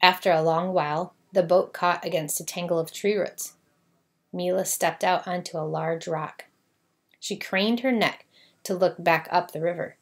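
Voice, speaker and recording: A woman's voice: lowish voice, steady tone, normal speed. A woman narrating a children's storybook, reading aloud expressively.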